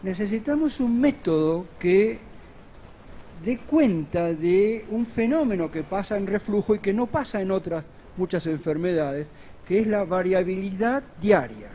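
A man's voice: high-pitched, animated, measured pace, warm tone, slight background noise. A middle-aged man giving a medical conference lecture at a podium in a large hall, explaining earnestly and posing rhetorical questions. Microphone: podium microphone on a stand.

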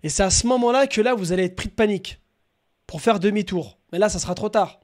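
High-pitched young man live streaming. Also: fast. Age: young adult. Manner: slangy, animated, swearing. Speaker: prank call streamer. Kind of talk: casual live stream chat with crude prank storytelling. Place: small room at home.

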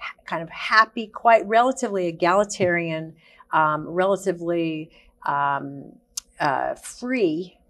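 A woman around sixty, a guest in a studio interview, telling her life story in long, searching sentences with many pauses and ums. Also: fairly low pitch, a bit bright. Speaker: guest, activist. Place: TV studio set.

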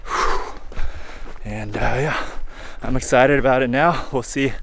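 A man in his forties, hot and sweaty, talking casually to a handheld camera while hiking outdoors, relaxed and rambling with fillers. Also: mid-range voice, a little slow, creaky, slight background noise. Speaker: hiking vlogger. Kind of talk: casual vlog talk to camera. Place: outdoors on a forest trail. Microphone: handheld camera held at arm's length.

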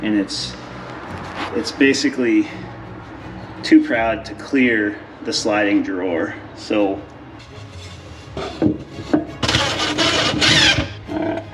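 A man's voice: on the low side, very slow, pauses often. A man narrating a DIY renovation vlog in a casual, informal way, explaining things hands-on as he works. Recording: echoey room, music underneath.

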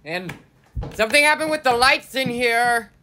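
A man's voice: very high-pitched, lively intonation, moderate pace, pushed and bright, full character voice. A young man playing an annoyed, increasingly panicked guy trapped in a bathroom in found-footage horror. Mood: annoyed, uneasy. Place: small bathroom, indoors. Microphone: handheld phone. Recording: noisy handheld phone recording.

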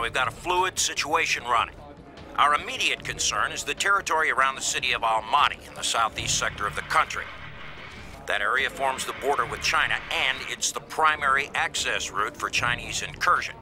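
An actor playing an authoritative, businesslike NATO lieutenant colonel in a scripted video game briefing. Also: male voice, mid-range voice, bright, forceful tone. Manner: commanding, businesslike. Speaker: military commander. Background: music.

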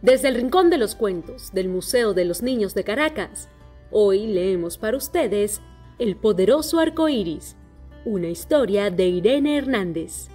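A woman reading a children's story aloud in a clear, steady storytelling manner. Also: medium pitch, a little slow. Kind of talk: scripted children's story narration. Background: soft music.

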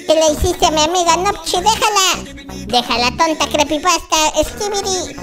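A host voicing a baby boy character in a very high, childlike voice, giving excitable, exclamatory Minecraft roleplay commentary and repeating words. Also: moderate pace. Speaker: gaming video host. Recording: children's music behind the voice. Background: loud playful children's music.

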